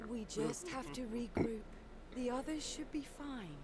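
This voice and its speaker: An actress playing a composed, practical and forgiving young expedition member in a video game. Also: female voice, fairly high pitch, measured pace, natural voice. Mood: urgent, steadying. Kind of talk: video game character acting. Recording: game audio with clear ambient noise.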